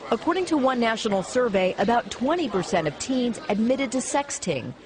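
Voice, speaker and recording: A woman news reporter delivering a TV news segment in a crisp, measured way. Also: on the high side, big pitch swings. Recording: TV news clip played over background noise.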